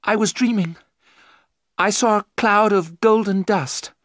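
A man voicing a frightened young wife under a vampire's spell, speaking high and pleading. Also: a little slow, no echo, studio quality. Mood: fearful.

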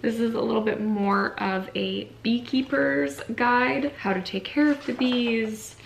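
Chatty young woman with a creaky voice. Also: on the high side, fairly even intonation, unhurried, poor audio. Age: young adult. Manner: chatty, informal, peppered with "like". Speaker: book vlogger. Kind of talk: casual chat to camera, showing books. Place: small furnished room at home, indoors.

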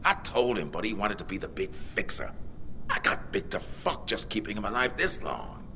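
An actor playing a tough, cynical zombie apocalypse survivor in a video game, blunt, streetwise and foul-mouthed. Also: male voice, lowish voice, huge pitch swings, normal speed, gravelly, pushed and bright, big-room sound, a little hiss or hum, full character voice. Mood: gruff, weary. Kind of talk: video game cutscene acting.

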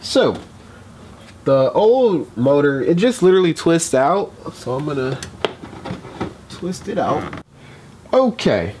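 A young man narrates a car repair in a loose, rambling way, full of ums and restarts. His voice sits at a middling pitch. He is picked up by a camera mic outdoors at night while he works, with a faint hiss of background noise. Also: darkish tone. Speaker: DIY car repair vlogger. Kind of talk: casual how-to narration while working. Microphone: camera mic, moves around while working.

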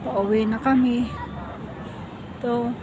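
High-voiced Filipino woman vlogging. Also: fairly even intonation, slow, a crowd in the background. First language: Tagalog. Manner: switches between Tagalog and English. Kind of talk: casual vlog narration to camera. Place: outdoors in a hilltop garden. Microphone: handheld phone or camera mic. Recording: noisy outdoor handheld recording.

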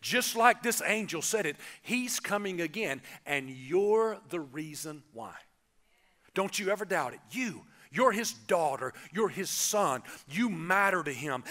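Lively pastor preaching Easter. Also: male voice, high voice. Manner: animated, gestures broadly, calls on the congregation. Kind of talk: energetic Easter sermon. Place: church stage, large indoor auditorium.

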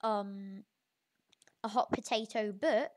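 A young video creator with an informal, halting, self-correcting way of talking, giving a casual game tutorial. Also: medium pitch, fairly even intonation. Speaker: gaming video creator, host.

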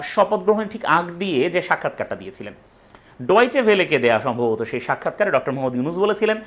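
A man's voice: a little high, fairly bright tone. A young man presents an explainer, emphatically rephrasing his points and posing rhetorical questions. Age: young adult. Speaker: educational presenter. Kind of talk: explainer talk to camera. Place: small indoor room with bookshelf.

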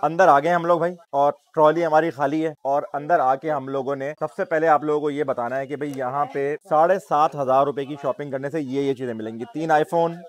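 A man's voice: on the high side, fairly even intonation, brisk, few pauses. A man in his thirties with a Pakistani accent, chatting casually as he hosts a shopping vlog, often repeating his phrases. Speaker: vlog host. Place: busy supermarket and its car park.

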